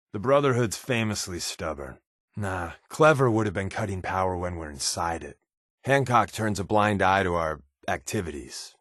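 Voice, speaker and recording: An actor playing a sardonic, wisecracking undercover resistance agent in a video game, with a casual, slangy, quipping delivery. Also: male voice, lowish voice, fairly bright tone, dry recording, studio quality. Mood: wary, wry. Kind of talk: video game character voice lines.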